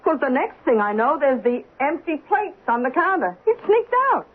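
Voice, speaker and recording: Lively, chatty New diner woman. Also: high voice, moderate pace. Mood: amused, chatty. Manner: wry, folksy, colloquial. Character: chatty, good-natured working-class diner counter woman. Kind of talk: old-time radio drama acting. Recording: tinny radio recording, faint music.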